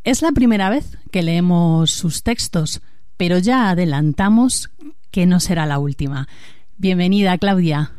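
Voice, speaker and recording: A woman's voice: on the low side, unhurried, darkish tone, smooth, dry recording, studio quality. A woman hosting a conversational literary interview on a podcast. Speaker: podcast host interviewing a writer.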